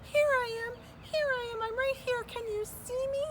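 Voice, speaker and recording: Woman voicing a very high-pitched frantic baby dragon. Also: steady tone, moderate pace. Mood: frantic, pleading. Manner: urgent, pleading yelling. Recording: distant mic with clear background noise.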